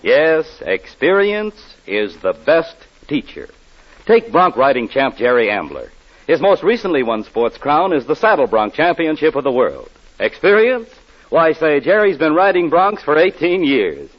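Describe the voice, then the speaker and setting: A man delivering an emphatic, folksy 1940s radio advertising pitch as a commercial announcer. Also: very animated, measured pace. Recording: muffled old radio recording.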